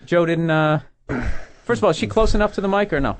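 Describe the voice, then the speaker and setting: A man's voice: high voice, quick pace. A middle-aged man co-hosting a comedy podcast, teasing his guests with sarcastic jokes and laughing often. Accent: Boston. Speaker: podcast co-host. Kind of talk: casual comedic banter.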